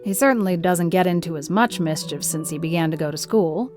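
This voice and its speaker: A woman playing a stern, practical older woman guardian, brisk and matter-of-fact, in an audiobook drama. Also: fairly low pitch, a little mellow, soft background music, natural voice. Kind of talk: audiobook drama character reading.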